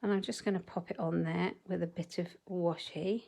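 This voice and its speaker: A woman demonstrating card-making in a chatty, step-by-step way, her voice a little croaky. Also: on the low side, fairly even intonation, dark tone. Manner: chatty, measured step-by-step instructions. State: slightly croaky voice. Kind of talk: live crafting tutorial.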